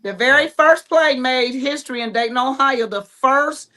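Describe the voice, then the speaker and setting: A woman with a slightly high voice talks casually as a playwright guest. She is plain-spoken and encouraging in how she puts things. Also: normal speed, sharp tone. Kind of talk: casual chat.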